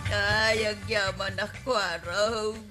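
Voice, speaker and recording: A woman's voice: medium pitch, fairly even intonation, takes it slowly, over loud music, lightly acted. A woman playing a distressed, plaintive village wife in a radio comedy drama, given to wailing exclamations. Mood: aggrieved.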